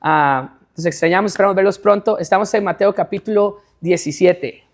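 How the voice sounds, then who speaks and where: A woman interpreting a sermon live for a church congregation, following the preacher line by line in a quick, conversational manner. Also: medium pitch. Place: church stage before a congregation.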